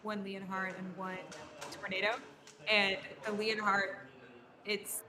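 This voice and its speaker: A woman's voice: mid-range voice, level tone, slow pace, a crowd in the background. Woman giving casual color commentary. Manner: conversational, knowledgeable color commentary. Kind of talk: live table soccer commentary. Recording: live venue noise behind.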